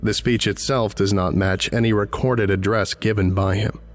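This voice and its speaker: A man with a fairly low voice narrates from a script. His delivery is formal and measured, with the clinical tone of a documentary report. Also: fairly even intonation, soft background music.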